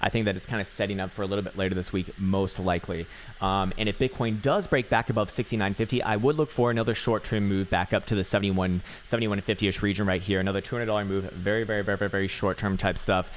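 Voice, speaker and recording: A raspy-voiced man giving casual, rambling chart analysis, swearing now and then and full of fillers and restarts. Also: on the low side, fairly even intonation, fast, a little hiss or hum. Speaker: market analyst.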